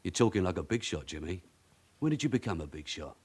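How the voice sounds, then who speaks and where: An actor playing a shrewd, weary veteran detective sergeant in a radio drama, with a London accent and a blunt, sardonic, authoritative way of questioning. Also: male voice, low-pitched, very animated, fast talker. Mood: sardonic, needling. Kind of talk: naturalistic radio drama acting.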